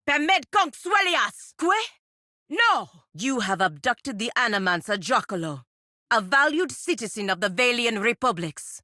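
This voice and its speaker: An actress playing a proud, stern, loyal warrior envoy in a fantasy video game, speaking in a formal, deliberate, earnest manner. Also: female voice, mid-range voice, bright, forceful tone, dry recording, studio quality. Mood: outraged, incredulous, then firm, formal. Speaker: game character voice actor. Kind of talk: scripted fantasy game dialogue.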